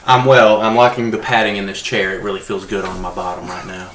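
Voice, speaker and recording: A man's voice: low-pitched, little pitch movement, a little fast, a crowd in the background. A man chatting casually as a podcast co-host. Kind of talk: casual chat. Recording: roomy, distant mic with people around.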